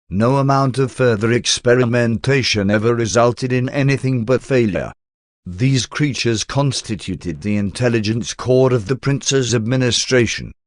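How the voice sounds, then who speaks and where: A man reading a religious text aloud as formal, measured audiobook-style narration. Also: mid-range voice, no room sound, studio quality.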